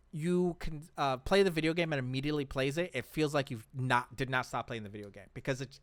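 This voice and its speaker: A man's voice: a little high, a bit bright, clear, resonant tone, no room sound. A man co-hosting a casual chat show, talking enthusiastically and emphatically about his favourites. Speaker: podcast co-host.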